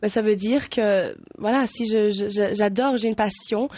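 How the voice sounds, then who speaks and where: A woman's voice: medium pitch, normal speed, a little mellow, dry recording. A woman in her twenties, a pageant candidate whose first language is German, answering interview questions in a studio in hesitant speech full of restarts and fillers. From German-speaking Belgium. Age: 20s.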